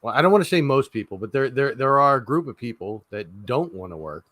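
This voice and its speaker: A man's voice: medium pitch, sing-song, a little fast, fairly bright tone, dry recording. Brief: teasing male co-host, dry close-miked sound. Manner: teasing, wisecracking. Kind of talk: casual live-stream banter.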